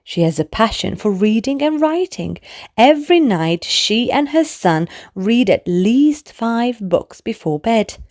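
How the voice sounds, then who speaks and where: A woman narrating a children's bedtime story in an expressive way, speaking to small children. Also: fairly high pitch. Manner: animated, slow, clearly addressed to small children. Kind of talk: expressive read-aloud bedtime story for toddlers.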